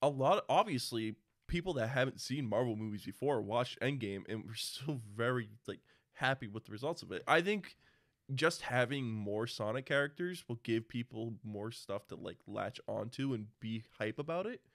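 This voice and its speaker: A man's voice: mid-range voice, animated, normal speed, fairly bright tone, no room sound, studio quality. A man co-hosting a casual, opinionated movie discussion in an informal, sweary manner. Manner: informal, profane. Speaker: podcast co-host.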